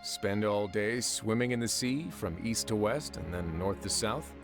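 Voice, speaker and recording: A man's voice: fairly low pitch, unhurried, music in the background. A man playing a wry, confident prison warden in a video game, with a dry, casual, teasing manner. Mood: teasing, amused. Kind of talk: video game dialogue acting.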